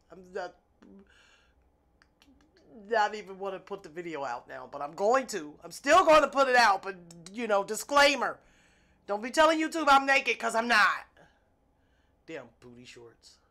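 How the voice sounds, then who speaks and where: A woman's voice: fairly high pitch, sing-song, normal speed, gravelly, pushed and bright. A woman, congested and sniffly from illness, chatting casually to her viewers while she unboxes nail gel at her desk. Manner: chatty, self-amused, talks to viewers as "y'all". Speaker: nail artist vlogger. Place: home nail desk, indoors.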